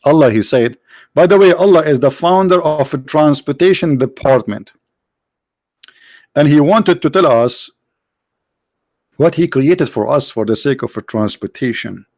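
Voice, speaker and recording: A man whose first language is Arabic hosting a live stream, talking sarcastically, halting often on fillers and now and then breaking into mock singing. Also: a little high, animated, darkish tone, no echo. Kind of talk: mocking religious debate stream.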